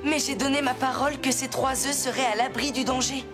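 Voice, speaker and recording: A woman voicing a kind, earnest young boy hero in a cartoon, with expressive delivery. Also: high-pitched, brisk, loud music behind the voice, natural voice. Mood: serious, gentle. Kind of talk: French animated series dubbing.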